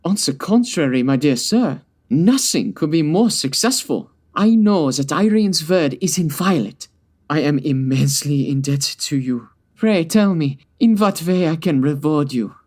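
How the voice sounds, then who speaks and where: A man's voice: a little high, lively intonation, a little slow, a little mellow. Guy doing a stately king in a radio comedy, very formal and courtly. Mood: grateful, courtly. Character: gracious, stately male king, formal and grateful. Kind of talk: old-time radio drama acting.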